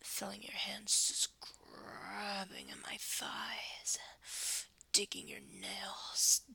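Woman, very slow breathy whisper, sharp edge, commanding, intimate adult roleplay. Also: medium pitch, flat delivery. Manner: breathy, dominant, commanding, drawn-out delivery. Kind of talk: intimate erotic roleplay audio, mostly whispered.

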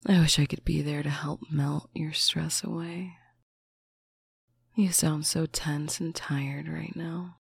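A speaker in a slow, intimate roleplay, talking softly to a faraway partner on a bedtime call, often dropping into a whisper. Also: mid-range voice, fairly even intonation, vocal fry, slightly rough, dry recording. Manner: soft, affectionate, often whispered. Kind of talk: intimate roleplay monologue to the listener.

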